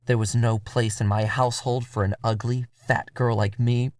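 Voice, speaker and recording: A man voicing a bitter, devoted woman vampire in a measured, confessional audio drama monologue. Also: mid-range voice, level tone, lightly acted. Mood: bitter, brooding. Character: bitter, devoted Middle Eastern American woman vampire, quietly menacing. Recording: music behind the voice.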